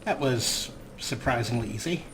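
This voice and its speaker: A man's voice: mid-range voice, lively intonation, normal speed, a bit bright, a little hiss or hum. A man giving casual, playful, improvised commentary as he plays a video game, often talking to the characters. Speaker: let's play host. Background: video game ambience.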